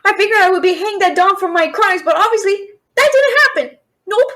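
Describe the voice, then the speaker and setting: A woman's voice: very high voice, pushed and bright. A young woman playing a cheeky, frightened thief who stammers and bluffs in a fantasy audio roleplay. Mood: defensive, indignant. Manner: nervous, stammering, fast-talking bluffer. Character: cheeky, scared young female thief, talking her way out.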